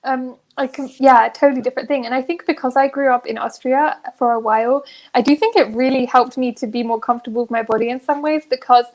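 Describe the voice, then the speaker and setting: Young female podcast co-host, high-pitched voice, frank and giggly, loose conversational delivery. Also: steady tone, fast, hardly any pauses. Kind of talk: casual candid chat.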